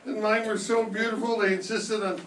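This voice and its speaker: A man's voice: high-pitched, little pitch movement, brisk, a bit bright. Brief: older man as a coaxing, cocky boyfriend; distant, roomy amateur recording. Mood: boastful. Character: smooth-talking lazy boyfriend. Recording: roomy classroom, far from mic, faint hiss.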